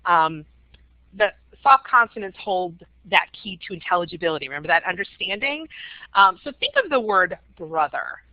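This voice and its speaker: A woman's voice: on the low side, expressive, normal speed, dry recording. A woman giving a webinar presentation to teachers, talking conversationally with frequent "um" fillers. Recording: dull, dry webinar audio.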